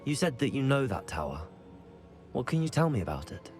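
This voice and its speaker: A man voicing a young student who talks plainly and quickly. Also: mid-range voice, sing-song, a little mellow, faint music behind, natural voice.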